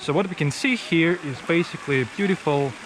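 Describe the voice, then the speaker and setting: A man's voice: a little high, a little fast, background noise. A man giving unscripted travel commentary as a vlogger, explaining what is around him and often rephrasing himself. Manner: explanatory, repeating and rephrasing phrases. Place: mostly outdoors at a tourist site.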